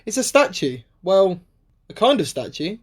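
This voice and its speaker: A woman's voice: mid-range voice, very animated, moderate pace, a little hiss or hum, lightly acted. A woman acting a cocky, sceptical young adventurer with sarcastic banter in an amateur radio play. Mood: excited, curious. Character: cocky, sceptical, bold young adventurer who loves to mock.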